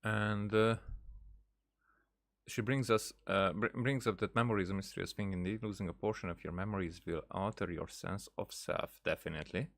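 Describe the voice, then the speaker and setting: A man giving casual gameplay commentary as a streamer, hesitant and thinking aloud in a halting way. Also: fairly low pitch, fairly even intonation, gravelly, fairly bright tone. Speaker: game streamer.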